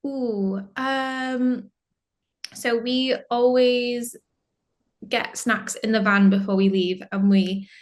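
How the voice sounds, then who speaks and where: A woman's voice: fairly high pitch, steady tone, slow. A young woman, a band's singer, chatting casually as an interview guest, with lots of fillers and frequent laughter.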